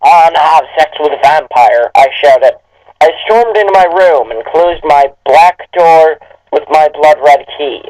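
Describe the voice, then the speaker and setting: A man's voice: a little high, animated, unhurried, a little mellow, rough recording. A man co-hosting a comedic reading, reciting a story and adding sarcastic, incredulous asides. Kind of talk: dramatic reading with mocking asides.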